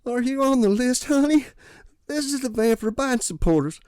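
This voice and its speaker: A man voicing a chirpy, proud elderly woman volunteer in a high falsetto. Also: lively intonation, normal speed, darkish tone, smooth, no room sound, studio quality. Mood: sugary. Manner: sweet, fussy, high falsetto.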